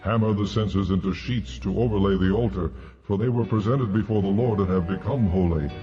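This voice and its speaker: An actor playing a commanding divine voice of judgment, solemn, authoritative and measured, in an audio Bible drama. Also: male voice, low voice, mellow, highs cut off, soft background music, lightly acted. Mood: solemn instruction.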